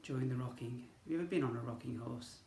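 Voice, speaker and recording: A male teacher reading a picture book aloud to young children, gently, with asides to them. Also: medium pitch, fast, roomy sound, background noise.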